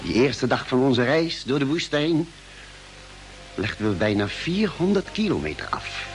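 A space explorer narrating his expedition in an old radio serial. The delivery is measured and dramatic, with lively pitch movement, and music plays clearly behind him. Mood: solemn, descriptive. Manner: measured, literary, dramatic phrasing. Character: male expedition member solemnly narrating the space journey.

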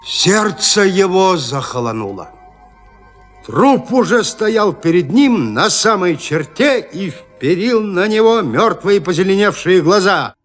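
A high-pitched, dramatic male storyteller. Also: sing-song, slow, heavily acted. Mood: suspenseful. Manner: theatrical, suspenseful delivery. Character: storyteller of a gothic horror tale, suspenseful. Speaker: narrator. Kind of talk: dramatic scripted narration of a gothic horror tale. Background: music.

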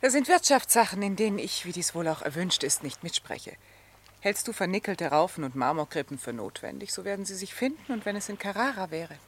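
A stern, principled countess in middle age. Her formal, pointed speech moves widely in pitch over a mid register. It is a radio drama with faint background ambience. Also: very animated, fairly quick, a little hiss or hum. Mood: bitter. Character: earnest, principled countess, middle-aged wife, stern and bitter. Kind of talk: literary radio drama acting.

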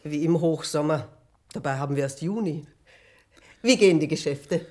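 An actress in a radio play as a sociable, concerned middle-aged country innkeeper, polite and chatty. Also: female voice, low-pitched, normal speed, darkish tone, close to an everyday voice. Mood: welcoming, chatty.

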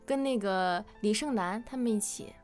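A woman's voice: mid-range voice, moderate pace, darkish tone. A woman hosting a casual fan quiz in a chatty, conversational way, leading the questions. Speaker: quiz host. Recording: music behind the voice.

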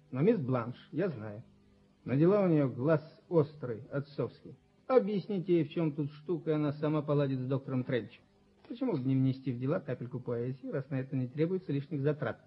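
A man's voice: medium pitch, a little fast, husky, lightly acted. An actor playing an ingratiating, scheming self-made businessman who coaxes familiarly, in a radio play. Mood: coaxing. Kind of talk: Soviet radio play acting. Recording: muffled 1970s radio drama recording.

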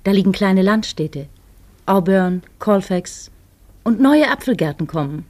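A woman acting as a co-narrator in a poetic radio play, telling it in a measured, lyrical way. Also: mid-range voice, expressive, a little mellow.

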